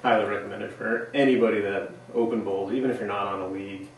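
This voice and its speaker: A fairly low-voiced man in his thirties adds short upbeat promo remarks. He sounds distant in a heavily echoing studio room with faint background noise. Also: steady tone, fast talker, vocal fry. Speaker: co-host. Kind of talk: casual promo talk. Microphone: far camera. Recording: echoey room from a distant mic.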